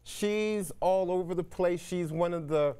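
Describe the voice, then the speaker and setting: Clear, high-pitched male TV show host. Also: a little slow, warm tone. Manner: showman-like, emphatic announcing with repeated phrases. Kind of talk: live call-in show presenting and interviewing.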